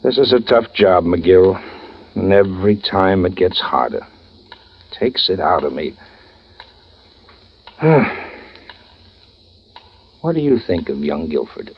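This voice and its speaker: An actor playing an exhausted, ailing prison warden in a vintage radio drama, speaking in a halting, weary, sighing way. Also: male voice, sits low, very animated, husky, exaggerated voice. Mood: weary, pained. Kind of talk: 1940s-50s radio drama acting. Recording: muffled old radio recording.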